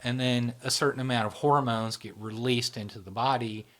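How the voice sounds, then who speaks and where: A man's voice: mid-range voice, steady tone, normal speed, a bit bright. A man hosting a casual conversation, explaining ideas in loose spoken runs and often checking in with "right?". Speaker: host, channel founder.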